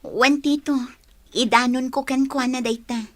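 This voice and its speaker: An actress playing an inquisitive, concerned young woman in a radio drama, expressive and probing. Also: sits high, a little slow, no room sound, clean studio sound. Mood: deferential, serious.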